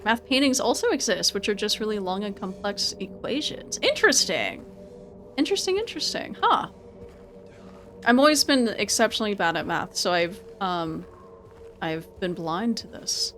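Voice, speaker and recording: A woman's voice: medium pitch, big pitch swings, moderate pace, a bit bright, faint music behind. A woman giving casual live game commentary and chatting with viewers, informal and prone to repeating her words and phrases. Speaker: game streamer.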